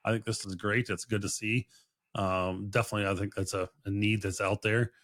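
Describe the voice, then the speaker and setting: A man's voice: fairly low pitch, level tone, fairly quick, a bit bright. A man hosting a podcast in casual interview chat, effusive and rambling, often repeating himself. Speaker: podcast host.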